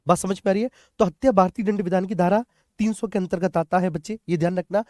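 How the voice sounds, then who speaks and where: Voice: medium pitch, steady tone, quick pace, darkish tone, dry recording, studio quality. An exam coaching teacher, lecturing students familiarly, repeating key points and often checking that they understand. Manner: addresses students familiarly as children.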